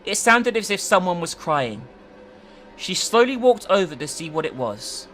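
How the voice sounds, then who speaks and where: A woman's voice: fairly low pitch, very animated, moderate pace. A woman narrating and commenting in a dramatic but casual story-reading style. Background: faint music.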